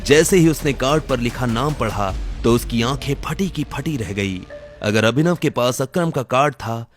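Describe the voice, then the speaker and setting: A man narrates a dramatic audio story with a lively, varied intonation. His pitch sits in the middle, and soft music plays behind the scripted storytelling. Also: moderate pace.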